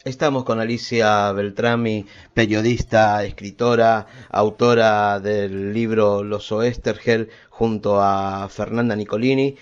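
A man with an Argentine accent and a fairly low voice acts as interviewer, putting prepared questions to a guest. The recording is a little dull and lo-fi. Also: steady tone, slow pace, hardly any pauses, faint music behind.

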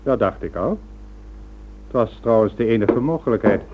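An actor playing a menacing, commanding crime boss in a radio play, full of contempt and mock-polite threats. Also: male voice, on the low side, expressive, moderate pace, very noisy, close to an everyday voice. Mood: pressing, triumphant. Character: domineering, menacing male crime boss with a knighthood. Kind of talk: radio drama acting. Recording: narrow, phone-like old radio recording.

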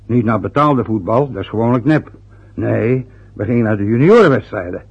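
A bitter, boastful middle-aged father from an old radio play, grumbling at his son. His voice is raspy and husky, and the lo-fi recording sounds dull. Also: fairly low pitch, animated, fairly quick. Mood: reminiscing. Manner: grumbling, reproachful.

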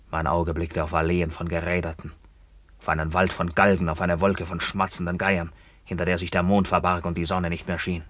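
Bitter Roman accuser, deep voice, solemn rhetoric, dry close sound, radio drama. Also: male voice, a bit bright, dry recording. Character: bitter returned Roman nobleman, proud, tormented accuser. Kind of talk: radio play acting.

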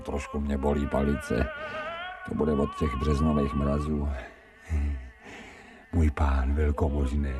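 An actor playing a contented, vain stray dog in a dreamy radio drama monologue. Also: male voice, extremely low pitch. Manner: dreamy, smug, savouring. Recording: music behind the voice.

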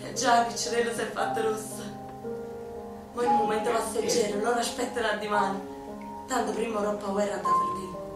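An actress playing a spirited young woman in a bomb shelter, sharp-tongued with a singsong lilt and a Neapolitan accent. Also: mid-range voice, level tone, brisk, close to an everyday voice. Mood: tender. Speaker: stage actor. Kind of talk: theatrical radio play acting. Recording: echoey distant stage recording with music.

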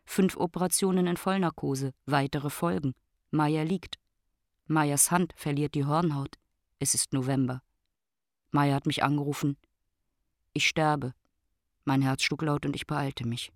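A performer playing a grave, reflective narrator in a literary radio drama, speaking in measured, short statements. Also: medium pitch, steady tone, dry recording, studio quality, close to an everyday voice. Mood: solemn. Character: grave, reflective narrator recounting a dying friend.

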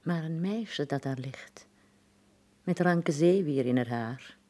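A pensive village man narrating in a Flemish radio drama. His tone is dark and mellow. He speaks solemnly as part of a chorus. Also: on the high side, lively intonation, takes it slowly.

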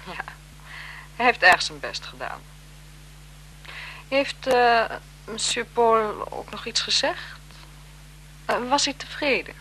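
An actress playing a self-possessed, flirtatious marquise in a 1970s Dutch radio play, speaking formally and teasingly. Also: female voice, a little high, big pitch swings, takes it slowly, pauses often, sharp tone, exaggerated voice. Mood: composed, aloof. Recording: 1970s radio drama with noisy background.

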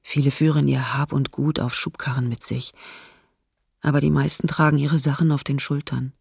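Very husky, intense eyewitness woman. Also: a bass voice, steady tone, normal speed, darkish tone, no echo, clean studio sound, exaggerated voice. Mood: grave, intense. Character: eyewitness recalling endless crowds, grave, intense. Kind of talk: documentary radio drama testimony.

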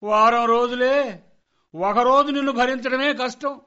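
A middle-aged husband in a radio comedy, high and sharp in voice. He grumbles, argues and nags in exasperation. The recording is dull and lo-fi. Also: fairly even intonation, normal speed. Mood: exasperated. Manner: nagging, argumentative. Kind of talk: Telugu radio comedy play acting.

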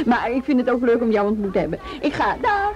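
An actress playing a woman police officer in a radio drama, brisk and modest, brushing off praise. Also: a little high, very animated, noisy background. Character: woman police officer, former sociology student.

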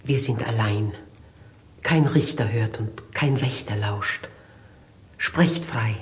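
A dignified elderly noblewoman, kindly but resolute. She speaks with deliberate, theatrical earnestness in the manner of old radio drama. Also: a bass voice. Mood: gentle, reassuring. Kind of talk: 1950s German radio play acting.

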